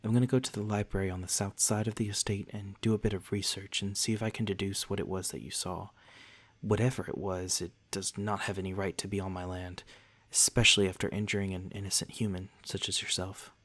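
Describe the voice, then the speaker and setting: A courteous vampire lord acted by a man speaks softly and fairly low, straight to the listener, as in an ASMR roleplay. His wording is genteel and a little old-fashioned. He comes across as gentle, attentive and protective, reassuring in everything he says. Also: little pitch movement, a little fast. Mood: protective, tender.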